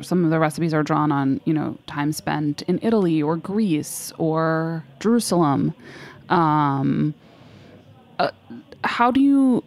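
A woman hosts a radio interview. She asks curious questions that she rephrases as she goes. Her voice sits a little low. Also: darkish tone. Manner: curious, loosely phrased questions.

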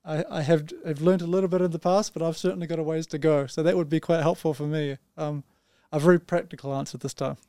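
A native English-speaking man, a department head, chatting as a guest on a podcast. His voice is mellow and smooth, a little higher than most men's. His talk is friendly and relaxed, with "you know" fillers, and the sound is dry. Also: fast, hardly any pauses, dry recording. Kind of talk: casual podcast conversation.

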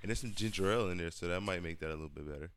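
A man's voice: low voice, rapid-fire, fairly bright tone, smooth, no room sound. A young man co-hosting a casual podcast, rowdy and slangy, laughing often. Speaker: podcast co-host.

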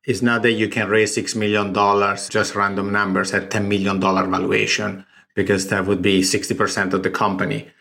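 Gravelly Italian-accented man. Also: on the low side, flat delivery, a little fast, bright, forceful tone. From Italy. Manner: explanatory, works through numbers step by step. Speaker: startup founder. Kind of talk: recorded interview.

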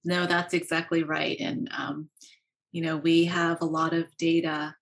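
A woman researcher from Maryland, talking earnestly and quickly in an interview, with small laughs and fillers. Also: sits low, flat delivery. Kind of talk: conversational interview.

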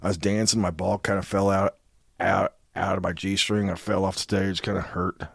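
A man's voice: low voice, normal speed, gravelly, husky, no room sound, clean studio sound. A middle-aged man co-hosting a casual chat, telling profane, jokey stories and throwing himself into the voices of the people he quotes. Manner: animated, over-the-top storyteller with big voiced quotes. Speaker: podcast co-host.

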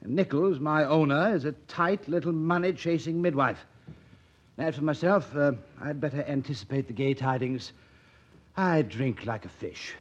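An actor playing a cynical, hard-drinking, witty doctor in a vintage radio play, with a breezy, ironic, theatrical delivery. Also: male voice, on the high side, normal speed, close to an everyday voice. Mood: breezy, sardonic. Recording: dull old radio broadcast recording.

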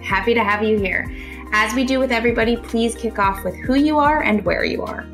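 A woman hosting a business podcast, reading a smooth scripted intro and then interviewing a guest. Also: a little high, a little fast, a bit bright, faint music behind. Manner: upbeat, smooth scripted delivery. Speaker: podcast host.

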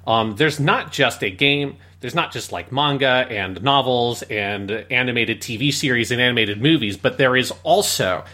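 Male co-host, bright voice at middle pitch, casual and rambling explainer. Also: normal speed, few pauses. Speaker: podcast co-host. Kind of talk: casual explanatory podcast talk.

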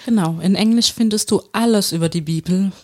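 A woman's voice: medium pitch, brisk, a little mellow. A woman with a Palatinate German accent, co-hosting a casual podcast about the Bible and finishing her co-host's sentences. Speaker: podcast co-host.

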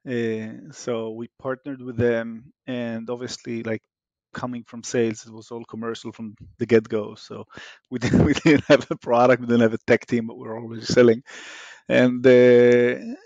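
A man's voice: medium pitch, moderate pace, dry recording. Israeli man with a dull, dry sound. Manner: fluent, restarts often with fillers, animated. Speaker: startup founder guest. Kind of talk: casual interview talk.

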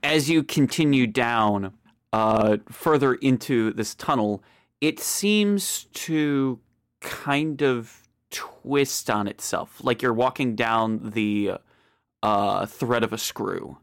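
A man narrating a tabletop game as game master, relaxed and hesitant with many fillers. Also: medium pitch, slow pace, no room sound, clean studio sound.

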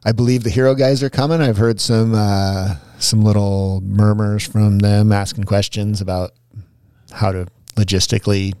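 A man's voice: on the low side, flat delivery, normal speed, warm tone, smooth, no room sound. A man co-hosting a podcast in casual chat, rambling along with fillers and restarts. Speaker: podcast co-host.

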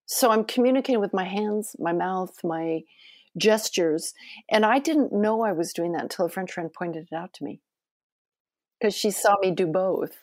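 A woman with a soft voice, a guest telling animated stories in a casual interview. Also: medium pitch, normal speed, no room sound, studio quality.

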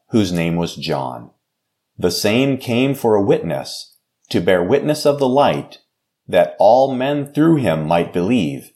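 Slow, low-voiced pastor reading scripture. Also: male voice, darkish tone. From United States. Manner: slow, measured King James reading.

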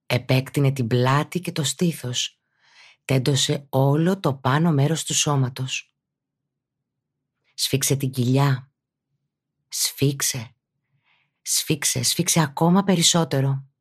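A woman speaking as a yoga teacher and podcast host, guiding a meditation in a calm, instructive, deliberate way. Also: a bass voice, breathy edge. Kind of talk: guided meditation narration.

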